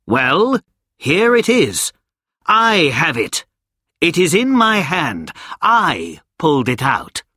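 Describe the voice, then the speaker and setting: A man voicing a proud, excitable young knight in a storybook reading, speaking eagerly and emphatically. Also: a little high, slow pace, husky, dry recording, clean studio sound, voice pushed up, exaggerated voice.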